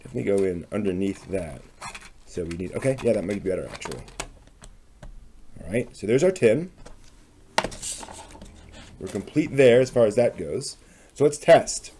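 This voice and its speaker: A man with a fairly low, soft-edged voice talks through a craft project in a casual, unscripted way. He thinks aloud in tentative mutters as he works. There is faint background noise with some clicking. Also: lively intonation, moderate pace, pauses often. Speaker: craft vlog host.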